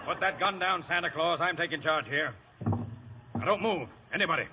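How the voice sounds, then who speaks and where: An actor playing a tough, snarling gangster boss in a radio crime comedy, curt and commanding. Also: male voice, a little high, animated, moderate pace, natural voice. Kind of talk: 1930s-40s radio crime acting. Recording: muffled, hissy old radio recording.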